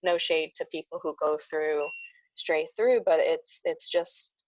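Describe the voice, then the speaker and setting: Chatty woman with a low voice. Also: unhurried, dry recording, low-quality recording. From United States. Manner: chatty, many fillers and restarts, laughs often. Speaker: interview guest, speech-language pathologist. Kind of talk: casual interview conversation.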